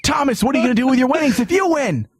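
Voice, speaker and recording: A man's voice: extremely high pitch, expressive, rapid-fire, noisy background. A man hosting casual comedy banter in the manner of a mock game-show host.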